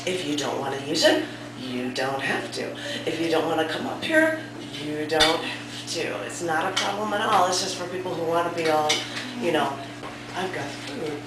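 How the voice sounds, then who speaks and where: A woman's voice: low voice, big pitch swings, normal speed. A woman hosting a cafe open mic and reading a poem, her voice scratchy from a sore throat, chatty and self-deprecating. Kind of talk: casual hosting, then poetry reading. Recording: echoey room from far off.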